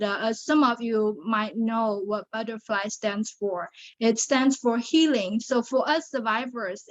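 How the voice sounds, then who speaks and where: Earnest Chinese-accented woman, fairly high voice, interview guest, dull lo-fi sound. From China. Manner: earnest, many fillers and restarts. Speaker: guest, survivor advocate. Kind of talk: interview on a video show.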